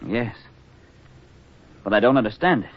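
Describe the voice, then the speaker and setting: An actor playing a sardonic navy diver with a laconic, dry delivery in a 1940s radio drama. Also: male voice, mid-range voice, lively intonation, moderate pace, breathy edge. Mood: curt, wry. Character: sardonic, curt male navy diver Wilson in hospital. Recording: dull vintage 1940s radio recording.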